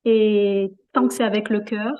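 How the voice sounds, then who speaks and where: A woman's voice: medium pitch, steady tone, unhurried, warm tone. Woman speaking reflectively, searching for her words. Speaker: interview guest, yoga practitioner. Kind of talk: reflective conversational interview.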